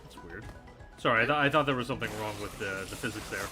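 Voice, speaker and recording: A man who streams and voice acts, chatting casually in a halting way with repeated words. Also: fairly low pitch, bright, forceful tone. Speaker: streamer and voice actor. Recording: music behind the voice.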